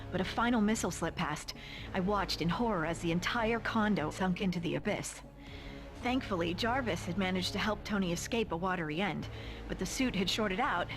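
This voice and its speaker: A woman's voice: on the low side, natural voice. A woman playing a superhero's sardonic girlfriend, narrating the adventure in a scripted style with wry, playful asides. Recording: music behind the voice.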